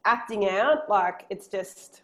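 A woman in her thirties co-hosting a podcast over a headset, telling animated stories about family life. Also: medium pitch, slow. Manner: animated storytelling with acted-out quotes. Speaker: podcast co-host. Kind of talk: casual chat. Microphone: headset earphones.

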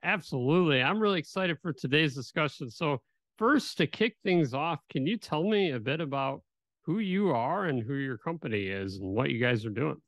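A man's voice: on the high side, expressive, normal speed, a bit bright, no room sound. A man hosting a business podcast, asking questions in an upbeat, enthusiastic way. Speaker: podcast host.

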